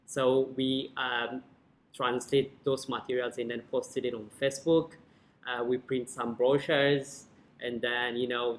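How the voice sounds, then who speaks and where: A man's voice: mid-range voice, a bit bright, slight background noise, low-quality recording. A man from Ethiopia with an Ethiopian accent, a native Amharic speaker, giving a hesitant personal account as a panel guest. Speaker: panel guest, former clinical officer.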